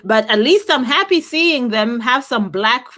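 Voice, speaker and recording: A woman's voice: fairly high pitch, lively intonation, a little slow, pushed and bright. A woman hosting a conversational discussion, reading out audience comments and giving her opinions with pointed questions.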